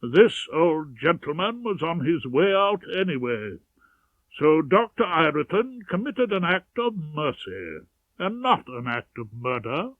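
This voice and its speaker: A man's voice: a little high, very animated, unhurried, dry recording. A man voicing a beefy, pompous male prosecutor in an audiobook, with emphatic, formal courtroom delivery. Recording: narrow, radio-like audiobook sound.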